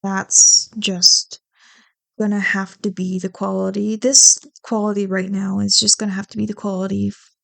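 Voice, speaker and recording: A woman's voice: mid-range voice, little pitch movement, normal speed, gravelly, fairly bright tone, no room sound, poor audio. A woman chatting casually to her listeners in a rambling, self-deprecating way, swearing freely, close to the microphone. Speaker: ASMR roleplay audio creator.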